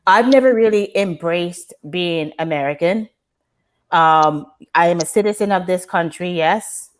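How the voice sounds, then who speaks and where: A woman's voice: fairly low pitch, a little slow. A woman from Canada speaking reflectively and explaining her view as a panelist in a conversational live discussion. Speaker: panelist on a live talk show.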